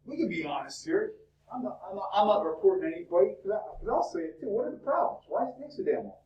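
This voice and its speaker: A male instructor lecturing a university class in a lively, chatty way, peppering students with questions, his voice cracking. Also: high-pitched, quick pace, highs cut off. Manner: talkative, upbeat, fires questions at the class. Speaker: business instructor. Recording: echoey classroom from far off.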